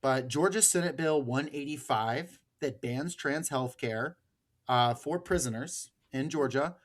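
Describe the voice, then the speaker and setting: A man's voice: medium pitch, unhurried. An American man hosting a podcast in casual political discussion, emphatic and sweary, often repeating words as he goes. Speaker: podcast host.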